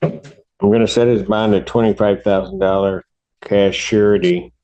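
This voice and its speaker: A man speaking as a judge, handing down rulings from the bench in a deliberate, halting official way. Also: on the low side, fairly even intonation, warm tone. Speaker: judge presiding over a court hearing. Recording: dull lo-fi courtroom audio.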